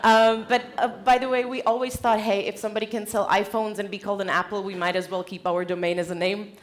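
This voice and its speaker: A woman's voice: medium pitch, flat delivery, fast. A woman from the Czech Republic with a Czech accent, giving an informal motivational presentation to an audience in a playful, joking, conversational way. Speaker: conference keynote speaker.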